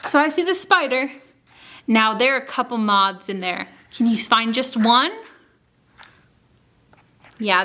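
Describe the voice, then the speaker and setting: Lady doing storytime for little kids, kinda high voice, really slow and clear read aloud, stops to ask questions. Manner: asks listeners questions, expressive teaching delivery. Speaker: children's librarian, story time host. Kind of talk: picture book read-aloud with explanations to young children.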